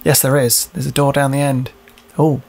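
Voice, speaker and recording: A man's voice: mid-range voice, level tone, normal speed, vocal fry, a little mellow, soft background music. A man giving casual, jokey let's-play commentary, muttering and swearing as he plays. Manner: chatty, jokey, sweary, mutters to himself while playing. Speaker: gaming show host, playing the game.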